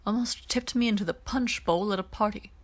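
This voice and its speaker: A woman's voice: mid-range voice, a little fast, natural voice. A woman voicing a wry male alien former military officer in an audiobook, with an understated, murmuring delivery.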